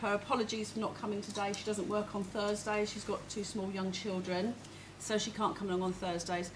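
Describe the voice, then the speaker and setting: A woman with a big, carrying voice talks in a chatty, familiar way, like someone updating supporters informally. Her pitch is average, and there is clear noise in the room behind her. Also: level tone, fairly quick. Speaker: hospice fundraising representative. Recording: noisy room ambience.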